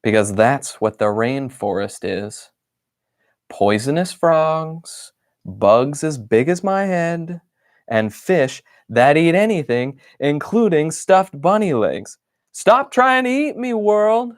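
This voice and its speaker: A man's voice: a little high, animated, slow pace, dry recording, clean studio sound, pitched above the performer's own voice. A man voicing a grumpy, put-upon stuffed bunny who complains through his diary entries in an exasperated way.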